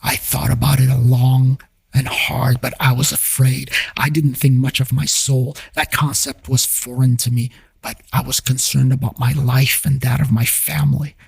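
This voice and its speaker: A man's voice: mid-range voice, level tone, normal speed, vocal fry, slightly rough, dry recording. A man telling a scripted first-person story as the narrator of an audio drama. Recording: music bed behind voice.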